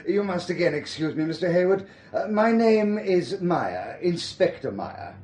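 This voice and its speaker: An actor playing a formal, over-polite police inspector in a radio drama. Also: male voice, high voice, big pitch swings, unhurried, a little mellow. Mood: polite, probing. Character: courteous, talkative German police inspector, fond of English. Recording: echoey room, noisy background.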